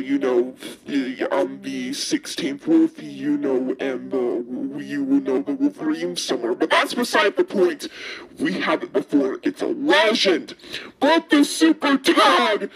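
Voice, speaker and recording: A performer voicing an excitable, starstruck pet hamster in an amateur cartoon fandub, gushing theatrically. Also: mid-range voice, poor audio. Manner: breathless gushing, theatrical exclamations.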